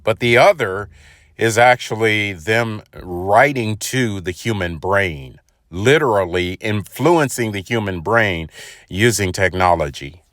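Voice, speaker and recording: Low-voiced man hosting, dry sound. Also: takes it slowly, a bit bright, dry recording. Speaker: host commentator. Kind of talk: explanatory online commentary.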